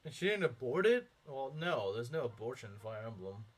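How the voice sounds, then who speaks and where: A man's voice: medium pitch, very animated, a little fast. A young man streaming a game, chatting casually with viewers and rambling through his thoughts with lots of filler words and frequent chuckles. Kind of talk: casual live-stream commentary reading chat.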